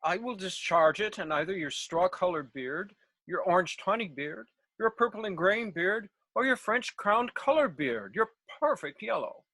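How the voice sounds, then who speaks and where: A woman's voice: fairly low pitch, lively intonation, moderate pace, a bit bright, no room sound. A woman playing a self-important, overeager male weaver in a Shakespeare read, declaiming theatrically. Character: Nick Bottom, a bombastic, overeager male weaver and amateur actor. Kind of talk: Shakespeare table read over video call. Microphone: video call, close.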